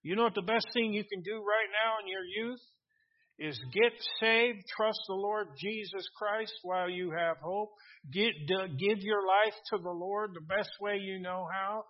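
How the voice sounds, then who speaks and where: Casting: man in his seventies, high-pitched earnest preacher, reads scripture, dull lo-fi recording. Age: 70s. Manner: earnest, reads King James verses aloud, many restarts. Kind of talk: sermon with scripture reading.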